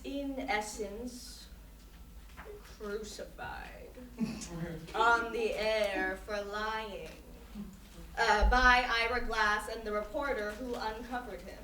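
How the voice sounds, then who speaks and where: In an echoey room, a woman plays a thoughtful visiting artist from China giving a critical talk on stage. Her voice is high and she speaks slowly and deliberately, picked up from far off. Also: pushed and bright, slight background noise. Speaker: interviewed artist. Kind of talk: staged play reading, mock artist talk.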